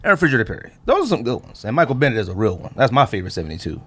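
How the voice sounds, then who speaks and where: A man's voice: mid-range voice, huge pitch swings, very fast, a bit bright. Slangy American man with a cold. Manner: slangy, informal. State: under the weather with a cold. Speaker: podcast host. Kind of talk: casual podcast chat.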